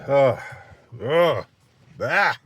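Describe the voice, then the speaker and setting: A middle-aged man with a stuffy, congested nose, talking in a halting, rambling solo monologue full of restarts and fillers. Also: a little high, fairly bright tone, slight background noise. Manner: halting, many restarts and fillers, self-deprecating. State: nasally congested. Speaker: video commentator.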